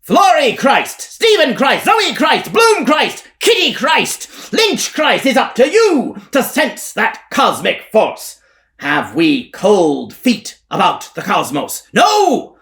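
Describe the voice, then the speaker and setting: A man voicing a harsh-voiced male revivalist preacher who hollers a brash sales pitch for salvation. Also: wildly swooping pitch, takes it slowly, vocal fry, a bit bright, heavily acted. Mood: ecstatic.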